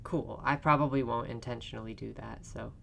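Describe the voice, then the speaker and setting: A woman's voice: rumbling low, fairly even intonation, brisk, vocal fry. A young woman playing a visual novel on a call, self-deprecating and laughing often, answering her friend in short replies. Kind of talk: casual chat while playing a game.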